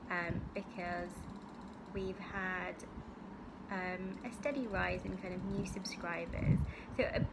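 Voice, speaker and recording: A woman from the UK hosting her crafting video podcast from home, chatting casually and rambling with many ums. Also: medium pitch. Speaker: podcast host. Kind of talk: casual chat to camera. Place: home in Singapore. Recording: loud background noise.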